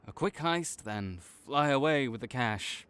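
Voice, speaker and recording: A man performs a proud male supervillain in an audio roleplay, talking straight to his nemesis. His delivery is unhurried with big swings in pitch. He sounds grudging and exasperated, yet awkwardly soft underneath. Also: expressive, clear, resonant tone. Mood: wry, jaded griping. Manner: tsundere, grudging, exasperated, stammering when sincere. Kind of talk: dramatic audio roleplay addressed to the listener.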